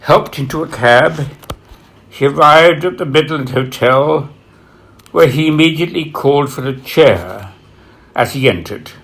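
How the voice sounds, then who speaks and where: Slow, solemn man reading aloud. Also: on the high side, creaky, fairly bright tone. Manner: solemn, measured, dramatic pauses. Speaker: author reading from own book. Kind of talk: scripted literary narration, biography reading.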